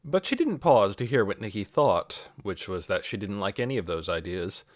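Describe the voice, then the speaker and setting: A man's voice: on the low side, sing-song, normal speed, no room sound, clean studio sound. A man reading a scripted novel aloud as an audiobook narrator. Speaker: audiobook reader.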